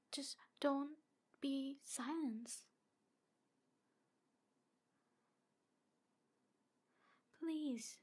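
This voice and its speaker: A jealous demon woman, acted by a woman in an intimate ASMR roleplay. Her voice is high and slow, breathy and halting, pleading with obsessive devotion and often sinking toward a whisper. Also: heavily acted. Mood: pleading, hurt.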